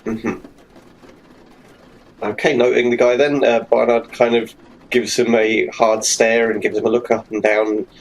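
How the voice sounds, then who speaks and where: A man's voice: mid-range voice, rough recording. A man casually describing his character's actions as a player in a tabletop game, wordy and thinking aloud. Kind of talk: casual improvised play description. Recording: clear background noise, room ambience.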